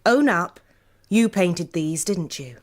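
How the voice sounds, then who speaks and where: Female voice, sharp-witted pushy woman, probing, lively intonation, radio drama. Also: on the low side, slow. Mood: accusing. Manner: probing, insistent. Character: shrewd, pushy woman pressing a painter to confess. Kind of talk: British radio drama acting.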